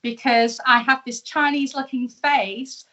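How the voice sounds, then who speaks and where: Upbeat british lady chatting on a video call, voice on the high side, laughs easy. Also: steady tone, unhurried, a bit bright, highs cut off. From United Kingdom. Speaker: guest, entrepreneur. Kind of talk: casual interview storytelling. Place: live video show.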